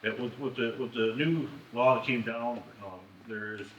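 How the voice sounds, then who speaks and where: A man's voice: medium pitch, steady tone, moderate pace, dull audio, slight background noise. A man answering questions at a public meeting, hesitant with many restarts. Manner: hesitant, restarts, says he is hard of hearing. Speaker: company representative. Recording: echoey hall, heard from a distance.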